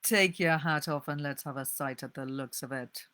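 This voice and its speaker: A woman voicing a cold, curt older shopkeeper in a storybook, speaking slowly with an odd put-on accent. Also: deep voice. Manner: slow, flat, clipped, odd vague accent.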